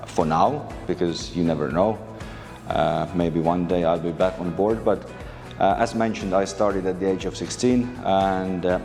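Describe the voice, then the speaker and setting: A man in his thirties from Finland, a maritime lecturer answering interview questions in a relaxed way, with frequent fillers and restarts. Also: sits low, in a large hall. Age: 30s. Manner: hesitant, many fillers and restarts.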